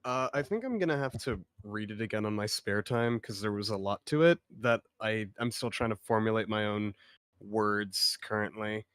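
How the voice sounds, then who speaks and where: A man's voice: fairly low pitch, moderate pace, a bit bright, clean tone, dry recording. A man talking casually in a group, with a hesitant, apologetic manner. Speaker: writing group member. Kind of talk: casual chat.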